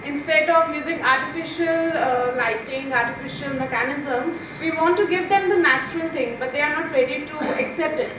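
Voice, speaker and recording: Female conference questioner, high-pitched, heavily reverberant room, clear background noise. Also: moderate pace, few pauses. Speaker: audience questioner. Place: large indoor conference hall. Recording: very echoey, distant hall mic, noisy.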